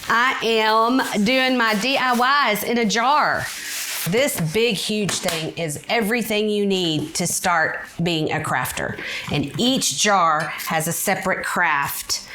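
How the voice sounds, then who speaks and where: A woman's voice: mid-range voice, slow pace, pushed and bright, a little hiss or hum. A woman crafter explaining her projects in an upbeat way. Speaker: crafter friend.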